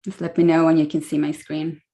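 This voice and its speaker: A woman's voice: low voice, completely flat delivery, a little fast, mellow. A woman in her forties with a Swedish accent, chatting through a slide talk as a guest speaker on a video call. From Sweden. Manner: friendly, chatty, many fillers.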